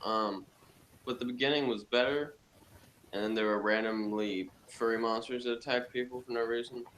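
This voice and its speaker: A man's voice: mid-range voice, steady tone, moderate pace, fairly bright tone. A man giving a blunt, dismissive review in casual conversation. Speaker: podcast reviewer. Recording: clearly noisy background.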